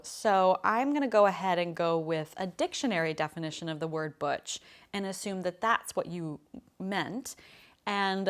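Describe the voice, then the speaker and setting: A woman voice coach talks to camera in an easy, friendly lesson. Her voice sits a little on the low side, and she explains things plainly and thoughtfully.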